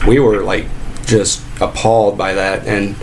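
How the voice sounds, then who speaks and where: A man acting as an aggrieved fast-food worker with a fairly low voice, lodging a casual complaint. He rambles and stalls, repeating himself as he goes, over a noticeably noisy recording of a prank call. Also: little pitch movement. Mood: earnest, aggrieved. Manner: rambling, halting, repetitive. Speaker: prank caller posing as a sandwich shop employee.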